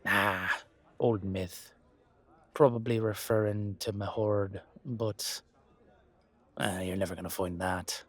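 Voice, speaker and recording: A man's voice: lowish voice, steady tone, slow pace, long pauses. A man voicing a wry, blunt leprechaun with an Irish accent, dry and colloquial, with a hint of menace. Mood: wry, warning.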